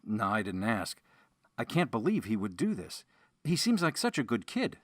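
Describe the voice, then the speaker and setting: A man's voice: on the low side, wildly swooping pitch, moderate pace, dry recording. Mild male mortuary doctor acted by a man, dry sound. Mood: troubled. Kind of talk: modern audio drama acting.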